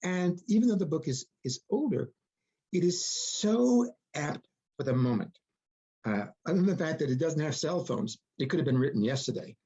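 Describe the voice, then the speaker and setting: A man's voice: a little high, expressive, moderate pace, dark tone, husky, dull audio. A man speaking as an interviewed author and producer, explaining things reflectively with folksy turns of phrase. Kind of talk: interview conversation.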